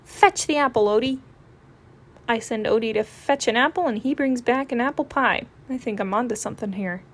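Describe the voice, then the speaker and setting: A woman's voice: on the high side, moderate pace, close to an everyday voice. A woman voicing a smug, lazy, sarcastic male cat from a comic, with a dry delivery.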